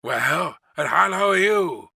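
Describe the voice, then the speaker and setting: Man voicing a hoarse, insistent messenger calling out. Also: high-pitched, animated, takes it slowly, sharp tone, no room sound, studio quality, pitched above the performer's own voice, heavily acted. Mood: surly. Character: hoarse, plain-spoken male messenger calling out.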